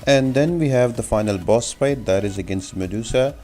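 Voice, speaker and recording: A man's voice: mid-range voice, moderate pace, a little mellow, faint music behind. A man giving an instructional, step-by-step gameplay tutorial commentary as the host of a game guide.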